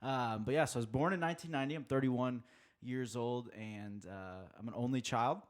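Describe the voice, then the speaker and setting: Relaxed man in his thirties, rambling. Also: mid-range voice, fairly quick. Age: 30s. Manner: relaxed, rambling with many fillers and restarts. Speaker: podcast guest. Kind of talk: casual conversation.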